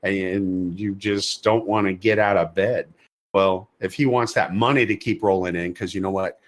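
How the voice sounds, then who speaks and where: A man's voice: deep voice, fairly even intonation, moderate pace. A man co-hosting a podcast, giving blunt, sardonic opinions in a casual style. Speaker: podcast co-host.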